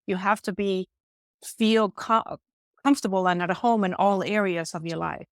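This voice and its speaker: A woman's voice: mid-range voice, fairly even intonation, moderate pace, dry recording, clean studio sound. A middle-aged woman from Denmark, explaining her coaching work earnestly and emphatically as an interview guest. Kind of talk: conversational interview answers.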